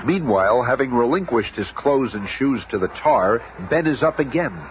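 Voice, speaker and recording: An actor as a dramatic narrator, giving emphatic scripted narration in a 1970s radio serial. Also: male voice, medium pitch, expressive, normal speed, lightly acted. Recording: muffled, noisy old radio recording.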